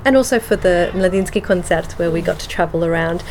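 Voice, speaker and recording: Aussie woman being interviewed, lots of ums and pauses, slight background hiss. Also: lowish voice, darkish tone, poor audio. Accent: Australian. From Australia. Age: adult with children. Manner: hesitant, many ums, switches between English and Slovenian. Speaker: guest. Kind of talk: casual interview.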